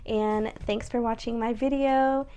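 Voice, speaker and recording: A young woman with a raspy voice talking casually to her viewers, chatty and full of fillers. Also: fairly high pitch, fairly even intonation, unhurried, mellow. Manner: chatty, many likes and ums. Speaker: vlogger. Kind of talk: casual vlog talk to camera.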